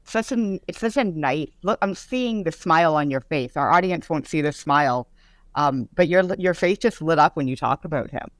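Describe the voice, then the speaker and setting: A woman's voice: low voice, expressive, moderate pace, dry recording. A middle-aged woman hosting a legal podcast, chatting enthusiastically with fillers as she interviews her guest. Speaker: podcast host, lawyer. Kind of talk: casual interview conversation.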